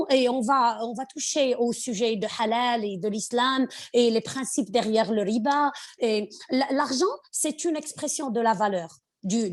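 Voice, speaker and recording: A woman from Morocco with a Moroccan accent, a podcast guest talking conversationally and haltingly, searching for words and slipping in English. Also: fairly high pitch. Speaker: podcast guest, finance executive. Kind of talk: conversational interview.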